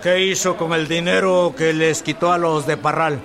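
An actor playing a haughty, scheming Mexican army general in a radio drama, with a creaky voice and a curt, authoritative, drawn-out delivery. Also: male voice, on the high side, steady tone, a crowd in the background, natural voice. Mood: curt, imperious. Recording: music behind the voice.

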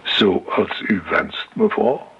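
An actor playing a polite, deferential but insistent waiter in a radio drama, speaking in a formal, measured way. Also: male voice, deep voice, sing-song, creaky, slightly rough, full character voice. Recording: muffled, noisy old radio play recording.

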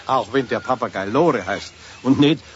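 An actor playing a puzzled, matter-of-fact zoo keeper in a children's radio play. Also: male voice, medium pitch, normal speed, close to an everyday voice. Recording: dull, faintly noisy 1970s radio tape.

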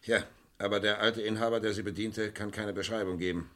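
An actor playing a matter-of-fact, quietly sharp detective assistant in a crime radio play, dry and understated. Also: male voice, lowish voice, fairly even intonation, a little fast, natural voice. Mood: dry, factual.

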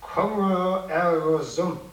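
Slow, offhand gossiping man in echoey room. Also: high-pitched. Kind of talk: casual dialogue in a radio drama. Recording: roomy echo, distant from microphone.

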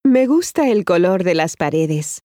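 A woman reading scripted phrases for language learners with careful, clear enunciation. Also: lowish voice, sing-song, unhurried, mellow, no room sound, clean studio sound. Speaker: language course model speaker.

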